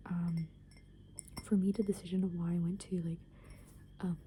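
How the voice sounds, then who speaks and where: A young woman in an unhurried ASMR ramble, her voice almost all breathy whisper with barely any tone. The sound is deeply mellow and dark, right up against the microphone. Her talk meanders with plenty of fillers. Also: little pitch movement, a little hiss or hum. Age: young adult. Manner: soft unvoiced whisper, rambling with many fillers. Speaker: ASMR creator.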